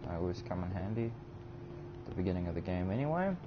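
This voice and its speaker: A young man with a New Zealand accent talks in a low, easygoing voice as he plays and chats to his live-stream viewers. His manner is relaxed and self-deprecating. The audio is dull and lo-fi, with steady noise underneath. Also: fast. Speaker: game streamer. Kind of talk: casual live-stream commentary.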